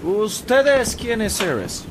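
Capitán extranjero de voz muy aguda. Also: male voice, high-pitched, big pitch swings, drawn-out words, exaggerated voice. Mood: amazed. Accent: English-speaking foreigner's. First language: English. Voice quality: broken Spanish mixed with English exclamations. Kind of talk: radio drama acting. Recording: rain and boat noise behind.

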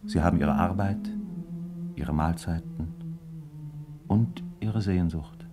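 A man narrating a 1950s German radio drama as a measured, reflective storyteller with literary phrasing. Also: sits low, loud music behind the voice.

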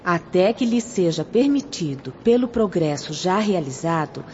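Woman acting mellow Brazilian spiritual guide. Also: lowish voice, lively intonation, measured pace. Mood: calm, instructive. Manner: formal, explanatory. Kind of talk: radio drama acting. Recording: dull lo-fi audio with background noise.

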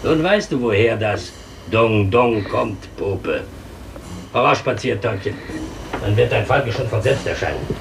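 Creaky, mocking henchman, wildly swinging delivery. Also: fairly low pitch, lively intonation, unhurried, distant mic. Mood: mockingly soothing. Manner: mocking, commanding. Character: sneering, falsely soothing henchman of a Russian count. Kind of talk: 1950s German radio play acting. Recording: noisy 1950s radio recording, off-mic.

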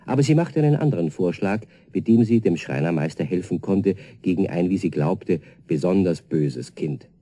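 Deep, very dark-voiced male storyteller. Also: slightly rough. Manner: storytelling, addressing young listeners directly. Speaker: narrator. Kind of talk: scripted children's story narration.

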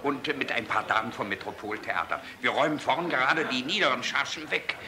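A man's voice: mid-range voice, brisk, close to an everyday voice. An eager, obsequious attendant in a radio play, acted by a man, speaking in clipped, deferential announcements. A busy crowd sounds behind him. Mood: officious. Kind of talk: German radio drama acting.

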